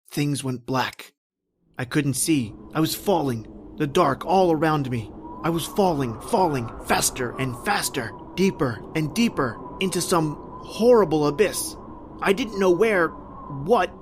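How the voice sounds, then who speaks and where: A man's voice: fairly high pitch, slight background noise. A man playing a tormented, lost husband recounting his doom in a brooding, dramatic old-time radio horror style.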